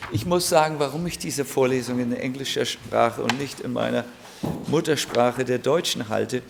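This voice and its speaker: A man, a spiritual teacher, giving an informal, gently joking talk to a seated audience in a room. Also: medium pitch, gravelly, background noise. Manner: unhurried, gently joking, thinking aloud. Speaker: spiritual teacher leading an evening gathering. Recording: live audience heard around the speaker.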